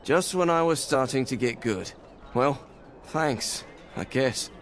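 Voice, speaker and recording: A man's voice: mid-range voice, expressive, takes it slowly. An actor playing a harried, resigned working man in a fantasy video game, grudging in manner. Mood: irritated, resigned.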